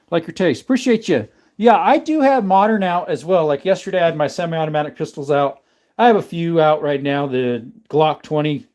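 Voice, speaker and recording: A man with a slightly raised pitch hosts a casual livestream from a room at home. He rambles along easily, reading viewer questions aloud and thanking people by name as he goes. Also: darkish tone. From not from the American South. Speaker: livestream host.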